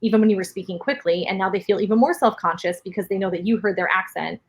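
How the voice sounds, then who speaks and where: A husky, slightly breathy woman with a New York accent explains things patiently and steadily, filling her talk with "like." She speaks as a speech therapist guest in an interview, at a middling pitch. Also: quick pace. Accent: New York area. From New York City. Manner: explanatory, steady, many fillers of "like". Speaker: speech-language pathologist guest. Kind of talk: interview answers.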